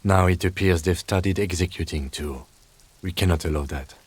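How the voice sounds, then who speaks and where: An actor playing a calm, dry, dutiful police lieutenant in a video game, speaking in a measured, formal way. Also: male voice, deep voice, slightly rough. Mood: matter-of-fact, serious. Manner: measured, matter-of-fact, formal. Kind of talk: video game dialogue acting.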